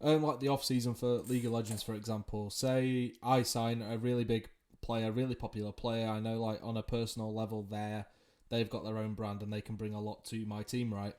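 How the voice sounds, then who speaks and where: A man's voice: lowish voice, flat delivery, brisk, darkish tone. A man hosting a podcast, putting questions in a casual, conversational and slightly hedging way. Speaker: podcast host. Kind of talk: casual interview talk.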